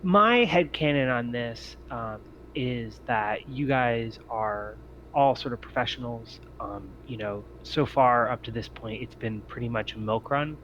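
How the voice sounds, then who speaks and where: A man with a mid-pitched voice works as game master for a tabletop game, talking players through it. The sound is dull and lo-fi, with noise behind him, as on a group voice chat. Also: measured pace, a bit bright. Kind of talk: casual explanation and scene narration for a tabletop game. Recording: muffled lo-fi voice chat, clear noise.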